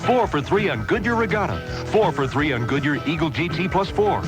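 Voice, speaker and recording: A man with an American accent delivering an upbeat, scripted radio sales pitch. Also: lively intonation, fairly quick, music in the background. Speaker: radio commercial announcer.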